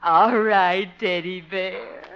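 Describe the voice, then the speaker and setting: An actress playing a coy, sugary, flirtatious sweetheart in a 1950s radio comedy, cooing and teasing. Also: female voice, medium pitch, steady tone, slow pace, very noisy. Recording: muffled vintage 1950s radio broadcast.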